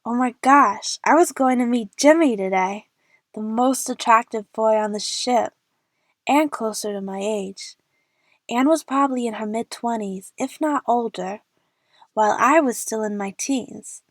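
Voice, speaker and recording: A woman's voice: fairly high pitch, measured pace, no echo, clean studio sound. A young woman playing an eager, chatty movie fan who narrates her adventure in the first person for an audio drama. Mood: excited.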